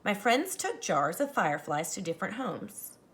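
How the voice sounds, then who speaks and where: A woman teacher reading a picture book aloud to children, expressive and dramatic, speaking to them directly. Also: mid-range voice, normal speed. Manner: animated, dramatizes the story lines, addresses children directly. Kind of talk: expressive children's read-aloud with explanatory asides.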